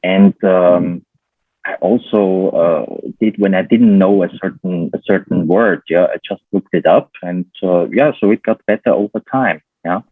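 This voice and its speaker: A man's voice: low-pitched, a little slow, no room sound, narrow, tinny audio. A man with a German accent, a podcast guest talking casually and hesitantly, with many fillers and restarts. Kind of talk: casual chat.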